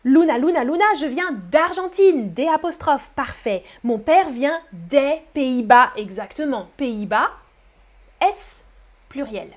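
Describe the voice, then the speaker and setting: A woman with a high voice runs a live French class at an unhurried pace. She pronounces each word carefully for learners and keeps cheering them on with repeated praise. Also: expressive. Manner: encouraging, repeats praise words; slow, careful articulation for learners. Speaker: French teacher. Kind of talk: live-streamed language lesson reading chat.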